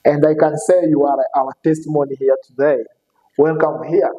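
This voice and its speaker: A man hosting an interview show, speaking non-native English with frequent fillers and quick "okay" and "yes" replies. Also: a little high, level tone, in a large hall, highs cut off. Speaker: show host. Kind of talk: casual interview.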